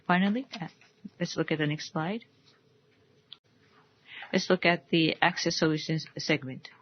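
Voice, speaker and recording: A woman giving a formal financial results presentation, reciting figures in a careful, measured way. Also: sits low. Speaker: conference call presenter. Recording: dull, muffled conference call audio.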